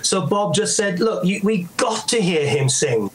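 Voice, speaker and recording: A man's voice: sits high, animated, a little slow, a crowd in the background, poor audio. A middle-aged Welsh man chatting as an interview guest, telling anecdotes and acting out what others said. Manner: chatty storyteller, acts out quoted voices. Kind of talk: casual anecdotal chat.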